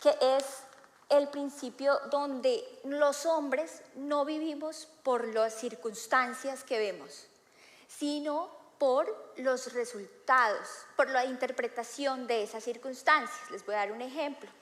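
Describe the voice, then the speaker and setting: Thirtyish woman preacher with a somewhat high voice, talking casually from the pulpit, recorded with heavy room echo. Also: steady tone, slow, fairly bright tone. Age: 30s. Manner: conversational, frequent filler "eh" and "¿no?". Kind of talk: sermon. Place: church hall. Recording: echoey hall with long reverb.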